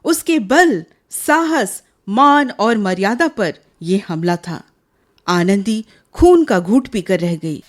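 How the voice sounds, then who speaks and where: A woman's voice: mid-range voice, expressive, slow. A woman playing the narrator of a village family tale in a radio drama, reading expressively and with measure. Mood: grave narration.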